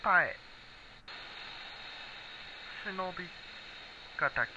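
Guy doing old 1940s radio announcer, solemn and stiff, really slow, muffled with loud static. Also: high-pitched, animated, pitched above the performer's own voice. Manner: halting, stiff, formal. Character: solemn announcer in a wartime surrender radio broadcast. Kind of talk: 1940s radio broadcast imitation.

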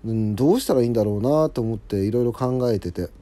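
Mellow man with swooping, animated intonation. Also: on the low side, fast talker. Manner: polite, apologetic, conversational with frequent agreeing asides. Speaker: solo audio show host. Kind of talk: casual monologue, personal update to listeners.

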